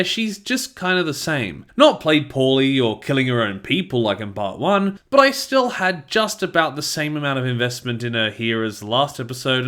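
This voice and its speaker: A man's voice: mid-range voice, a bit bright. A man hosting a scripted review in a conversational, opinionated way. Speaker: reviewer and host. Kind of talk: scripted audio drama review.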